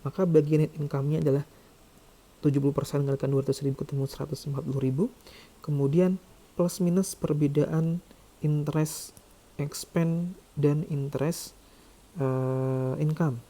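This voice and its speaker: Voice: medium pitch, fairly even intonation, normal speed, long pauses, mellow. An accounting lecturer explaining calculations step by step in a didactic way. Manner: didactic, checks with "ya" and "oke".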